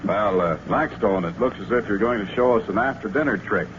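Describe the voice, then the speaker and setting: A man's voice: lowish voice, brisk, close to an everyday voice. A man playing a skeptical, folksy friend in an old radio drama, wry and colloquial. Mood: wry skepticism. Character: skeptical, folksy male friend hearing adventure tales. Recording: muffled, noisy old radio recording.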